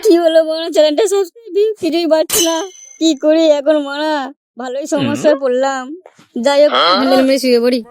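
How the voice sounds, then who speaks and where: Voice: high voice, steady tone, fast, a little mellow, close to an everyday voice. An actor voicing a fretting small cartoon slacker, complaining to himself. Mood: fretful. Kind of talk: comedy cartoon dubbing.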